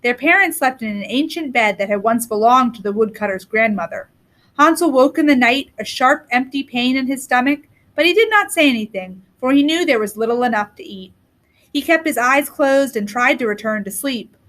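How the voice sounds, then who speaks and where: A woman with a distinctly high voice reads a story aloud. Her narration is scripted, with deliberate and expressive phrasing suited to a storybook. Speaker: story reader.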